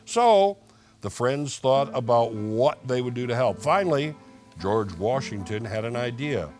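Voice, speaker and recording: A man with a medium-pitched, very animated voice. He talks like a casual TV co-host reading a storybook aloud, with plucked guitar music clearly audible behind him. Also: measured pace. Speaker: TV show co-host.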